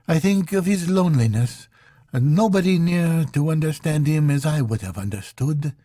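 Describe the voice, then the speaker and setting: A grieving young woman, voiced by a male reader in a novel audiobook, speaking in a soft, pleading tremble that rises high above his own voice and swings widely. Her words come slowly and breathily, with a husky grain, recorded dry and close. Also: expressive, no room sound. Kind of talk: audiobook reading of a literary novel.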